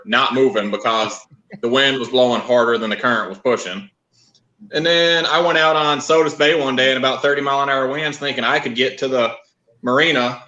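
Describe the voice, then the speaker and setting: A man's voice: mid-range voice, pushed and bright. A man from Louisiana telling casual fishing stories as a podcast guest. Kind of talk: casual storytelling. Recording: echoey, dull lo-fi call audio.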